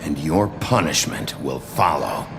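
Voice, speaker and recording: An actor playing a gruff, short-tempered sheriff in a video game, blunt and terse. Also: male voice, rumbling low, measured pace, long reverb tail, noisy background. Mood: stern. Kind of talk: dramatic video game acting.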